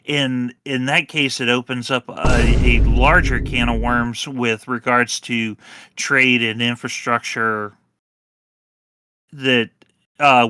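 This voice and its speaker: A man on a panel pushing back on a point, halting and restarting often. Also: medium pitch, sharp tone, clean tone. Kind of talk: heated panel discussion on a live stream.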